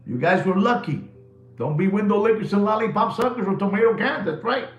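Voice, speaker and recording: A man's voice: sits high, echoey room, low-quality recording. A man, hosting a live watch podcast in a rambling, jokey way full of slang. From New York City. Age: 60s. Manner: animated, jokey storytelling with slang.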